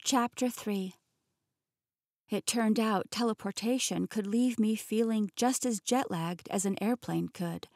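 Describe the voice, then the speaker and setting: Brief: clear female audiobook reader, lightly wry, close studio mic, mid-range voice. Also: medium pitch, unhurried, a little mellow, dry recording. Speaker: audiobook narrator. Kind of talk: first-person audiobook narration.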